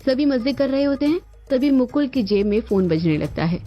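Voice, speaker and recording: A woman's voice: high-pitched, lively intonation, normal speed. A woman reading scripted narration for a cartoon family story. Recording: dull lo-fi audio with music behind.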